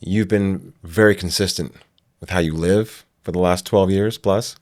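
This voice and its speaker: A man's voice: low voice, fairly even intonation, measured pace, a little mellow. A man hosting an interview, talking in a reflective way and asking follow-up questions. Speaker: host. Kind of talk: casual interview conversation.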